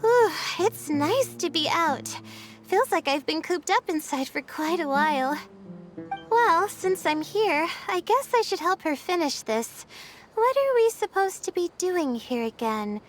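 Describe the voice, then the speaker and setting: High-voiced young female student astrologer. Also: big pitch swings, measured pace, soft background music, exaggerated voice. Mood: relaxed, self-assured. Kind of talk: video game voice acting.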